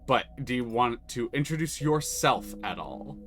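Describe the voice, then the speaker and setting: A man's voice: mid-range voice, animated, a little slow, a bit bright. A man streaming a game, chatting casually and explaining the mechanics with enthusiasm and many restarts. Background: music.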